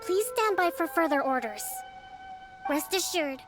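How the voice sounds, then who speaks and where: A woman voicing a young female island leader in a video game, speaking in a measured, diplomatic and firm way. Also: extremely high pitch, animated, music in the background. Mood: commanding.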